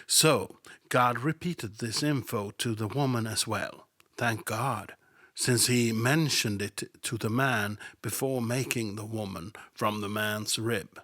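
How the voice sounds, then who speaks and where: A man's voice: on the low side, slow pace, fairly bright tone, no echo, clean studio sound. A man hosting a satirical Bible commentary podcast, sardonic and mocking, sometimes dropping to a whisper for asides. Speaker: podcast host.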